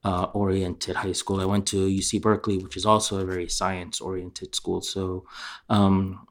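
A man with a fairly low voice talks as an interview guest. His answers are conversational and reflective, coming haltingly with frequent pauses. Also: flat delivery. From born in Korea, raised in England and New York.

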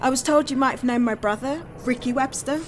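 An actress playing an earnest, searching sister in a naturalistic audio drama. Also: female voice, sits high, fairly quick, slight background noise, close to an everyday voice. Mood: tentative.

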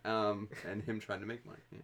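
A man's voice: fairly low pitch, little pitch movement, a little fast, a bit bright. A man co-hosting a casual podcast, chatting in a laid-back way with some chuckles. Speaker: podcast co-host. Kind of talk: casual chat.

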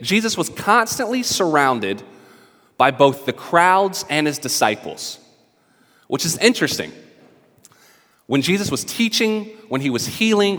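A man from North Carolina with a Southern accent, preaching casually to his church while raspy from a cold. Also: a little high, a bit bright, big-room sound. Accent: Southern American. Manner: casual, conversational preaching with frequent restarts. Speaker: pastor. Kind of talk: sermon. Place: church service in a hall.

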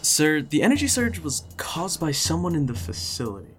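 Casting: male actor as timid servant lad, hesitant, stammering, animated pitch, faint music beneath. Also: normal speed. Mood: cautiously confident. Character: nervous, stammering boy servant reporting to master.

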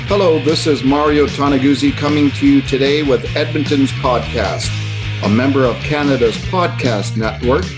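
A man hosting a podcast, reading a polished intro and asking interview questions in a measured way. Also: medium pitch, music in the background. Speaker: podcast host.

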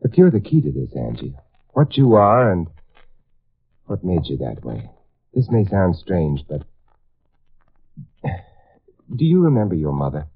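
A suave, world-weary detective in an old radio play speaks in a low voice that swings expressively. He is wry and probing, heard through a tinny vintage broadcast. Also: huge pitch swings, unhurried, creaky, long pauses, breathy edge, exaggerated voice. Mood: earnest, pressing. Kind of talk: 1950s radio drama acting. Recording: tinny band-limited vintage radio recording.

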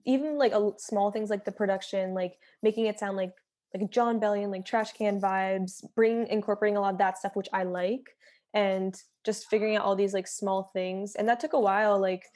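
A woman's voice: mid-range voice, steady tone, normal speed, darkish tone. Casting: young woman, singer guest, chatty and filler-heavy. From Philadelphia. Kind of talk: casual interview chat.

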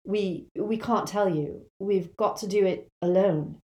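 A woman voicing a burdened, evasive teenage boy in an audiobook, halting and strained with urgency. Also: mid-range voice, mellow, pitched above the performer's own voice, natural voice. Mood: careful, reluctant. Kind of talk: audiobook character voice.

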